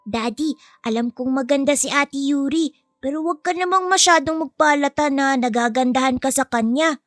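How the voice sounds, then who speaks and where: A woman's voice: sits high, normal speed, fairly bright tone, no echo, clean studio sound, soft background music, pitched above the performer's own voice. A woman voicing a cheeky, sweet, outspoken young child in an audio story, with a chirpy, teasing way of talking. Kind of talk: acted audio story dialogue.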